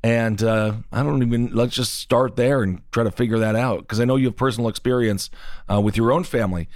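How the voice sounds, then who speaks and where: A man's voice: mid-range voice, steady tone, fairly quick, darkish tone, no echo, clean studio sound. A male host in a casual interview, easygoing and joking, asking long questions.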